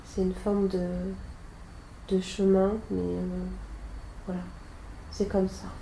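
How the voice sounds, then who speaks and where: A woman under hypnosis recalling a past life, speaking slowly and haltingly and trailing off into quiet murmurs. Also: medium pitch, monotone, gravelly, reverberant, slight background noise, low-quality recording. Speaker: hypnosis client.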